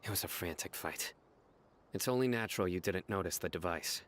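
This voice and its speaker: An actor playing a stern, vigilant young desert warrior in a video game, speaking in a grave, measured, terse way. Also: male voice, low-pitched, a bit bright. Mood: pondering, serious. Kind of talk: video game character acting.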